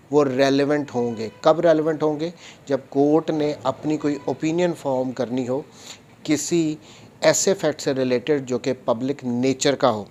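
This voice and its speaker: Slow-paced Pakistani man explaining law. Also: medium pitch, dark tone. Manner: deliberate, repeats points step by step. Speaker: law lecturer. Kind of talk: explanatory lecture, reading statutes aloud and explaining them.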